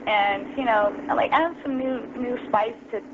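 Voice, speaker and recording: A woman's voice: fairly high pitch, a little slow. A young adult woman telling her story as an interview guest over the phone, casual and full of ums and you-knows. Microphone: phone call. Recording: lo-fi phone line, noisy.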